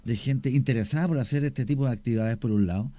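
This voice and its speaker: A man, a radio guest explaining his cemetery work at length, often pausing with fillers. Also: medium pitch, warm tone, no room sound. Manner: explanatory, hesitant with many fillers. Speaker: guest, cemetery tour creator. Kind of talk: radio interview.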